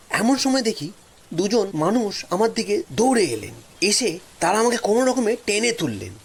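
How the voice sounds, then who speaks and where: A man narrating a first-person story in a dramatic, suspenseful way with expressive, drawn-out pauses. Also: sits high, husky.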